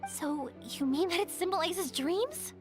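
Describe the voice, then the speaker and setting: An actress playing a friendly, eager young woman in an animated video game, upbeat and determined. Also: extremely high pitch, a little slow. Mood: intrigued. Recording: background music under the voice.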